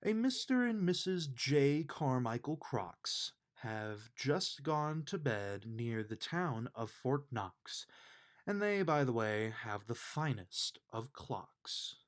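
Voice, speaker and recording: Slow, lively man reading a bedtime storybook. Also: mid-range voice. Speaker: reader of a children's book. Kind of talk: read-aloud of a rhyming bedtime storybook.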